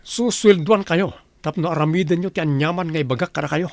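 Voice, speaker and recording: A man's voice: fairly high pitch, brisk, no echo, natural voice. A man playing a stern, bossy mansion master in an Ilocano comedy radio drama, commanding and scolding with an Ilocano accent. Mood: threatening, commanding.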